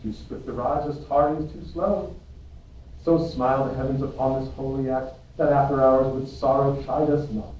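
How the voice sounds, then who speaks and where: A man's voice: mid-range voice, little pitch movement, moderate pace, darkish tone, lightly acted. A man playing a kindly, moralising friar mentor in an amateur Shakespeare reading. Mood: solemn. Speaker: English teacher. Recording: roomy, distant, dull, faint noise.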